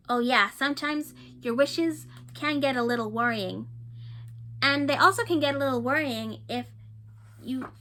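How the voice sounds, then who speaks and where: A woman reading a picture book aloud to children, stopping to chat and ask listeners questions, and often repeating herself. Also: high-pitched. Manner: chatty, warm asides to young listeners, often repeating herself.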